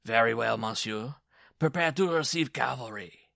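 A man's voice: medium pitch, moderate pace, vocal fry, bright, forceful tone, no room sound, clean studio sound, pitched above the performer's own voice. A man voicing a small, vengeful circus dwarf in a story, formal, cold and menacing. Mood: grim, commanding.

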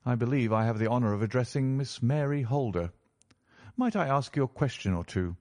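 A man voicing a keen, courteous gentleman detective who speaks in a measured, formal, probing way in a storybook. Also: mid-range voice, lively intonation, pitched above the performer's own voice, lightly acted.

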